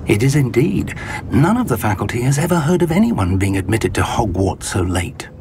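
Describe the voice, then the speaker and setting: A man playing a kindly, learned older wizard professor in a video game, with a measured, formal, articulate way of talking. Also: mid-range voice, sing-song, darkish tone, a little hiss or hum. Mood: measured, explanatory. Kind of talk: cinematic game dialogue acting. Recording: music under the dialogue.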